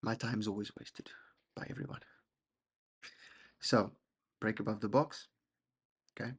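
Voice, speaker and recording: A young man, a husky voice and a rambling, self-deprecating manner, walking through trades and often checking in with "okay?". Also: on the low side, level tone, a little slow. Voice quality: gravelly, husky. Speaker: trading channel host. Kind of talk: casual screen-share trading walkthrough.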